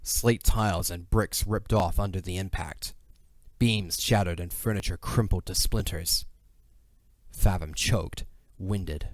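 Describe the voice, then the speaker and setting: A man's voice: low-pitched, no echo. A man narrating a fantasy audio drama in a dramatic, scripted storytelling style.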